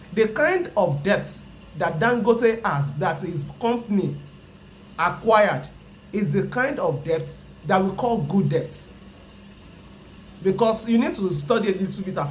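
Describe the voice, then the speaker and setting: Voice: medium pitch, a little hiss or hum. A Yoruba-speaking teacher from Nigeria, with a Nigerian accent, gives an explanatory personal finance lecture. The speaker repeats each point emphatically and keeps asking the listener rhetorical questions.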